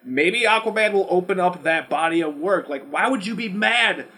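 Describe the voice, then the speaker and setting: A man's voice: sits high, normal speed. A man co-hosting a podcast, talking in a blunt, profane, rambling way as he casually argues and mimics people. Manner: rambling, animated ranting with mimicked quotes. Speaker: podcast co-host. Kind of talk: casual movie debate.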